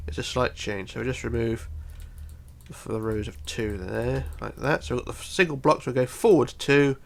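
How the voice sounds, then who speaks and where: A man's voice: medium pitch, normal speed, gravelly. A man with a bunged-up, congested voice giving a casual step-by-step building tutorial, counting aloud methodically. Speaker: tutorial host.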